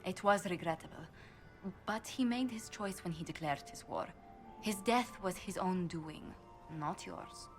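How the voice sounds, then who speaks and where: An actress playing a composed, diplomatic noblewoman ambassador in a video game, speaking politely and formally, with measured firmness. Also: fairly low pitch, faint music behind, close to an everyday voice. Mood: gentle concern. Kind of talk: video game cutscene acting.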